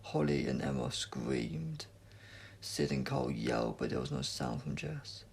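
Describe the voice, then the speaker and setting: A man's voice: a bass voice, wildly swooping pitch, unhurried, gravelly, husky. A man reading an adventure story aloud as a dramatic audiobook narration. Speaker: audiobook reader.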